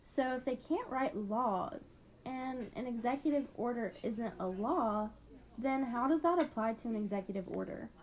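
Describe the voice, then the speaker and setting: A woman's voice: fairly high pitch, expressive, moderate pace. A woman co-hosting an educational podcast, playing the curious learner in a scripted dialogue. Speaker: podcast co-host.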